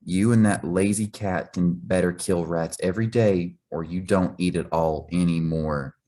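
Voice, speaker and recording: A man's voice: low-pitched, fairly even intonation, measured pace, warm tone. A man voicing a harsh, bullying male ship's cook in a children's storybook reading. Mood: scornful.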